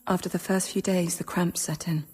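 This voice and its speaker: In long, cavernous reverb over music, a starving young novice confesses her torment. Her voice is extremely husky and breathy, intense and anguished, in a radio-play monologue. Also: fairly low pitch, steady tone, moderate pace, darkish tone. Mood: anguished, revolted. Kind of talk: first-person radio drama monologue.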